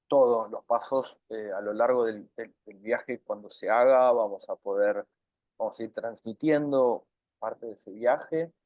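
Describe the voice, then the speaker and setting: A man answering interview questions in an earnest, measured way, often repeating phrases for emphasis. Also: mid-range voice, darkish tone, dry recording, dull audio. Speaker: interview guest, documentary filmmaker.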